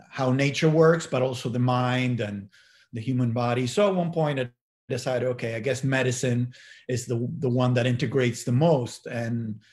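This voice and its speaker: Middle aged guy w argentine accent, spanish native, mid pitch, reflective, keeps searching for words. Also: a little mellow. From Buenos Aires, Argentina. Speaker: interview guest. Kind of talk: reflective personal recollection.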